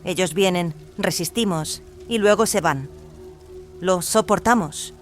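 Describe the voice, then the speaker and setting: An actor voicing a gentle female clone in an audio drama, explaining things earnestly and pleadingly. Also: mid-range voice, measured pace, close to an everyday voice. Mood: pleading, uneasy. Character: soft-spoken, pleading female clone, peaceable and evasive. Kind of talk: dramatized audio fiction acting. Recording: music behind the voice.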